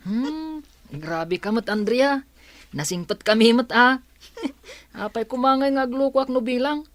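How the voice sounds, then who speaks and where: Lady doing cheeky school kid, ilocano accent, highish voice, dry studio radio drama. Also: a little slow, dry recording, natural voice. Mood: teasing. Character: teasing young pupil, defensive and cheeky.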